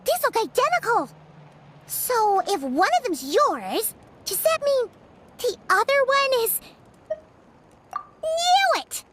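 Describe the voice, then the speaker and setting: A woman voicing a small, excitable, chatty fairy companion in a video game, with a squeaky childlike voice. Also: slow, pauses often, a little hiss or hum, heavily acted. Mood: astonished. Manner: exclaiming, speaks of herself in third person. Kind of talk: animated video game voice acting.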